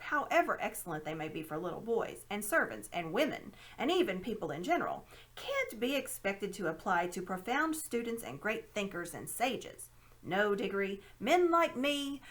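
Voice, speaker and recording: A woman's voice: medium pitch, fairly bright tone. Smug old scheming uncle for a storybook, played by a woman: grandiose, condescending, widely swooping delivery. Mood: lofty, condescending. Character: smug, self-important old man, a scheming magician uncle. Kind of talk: storybook read-aloud character voice.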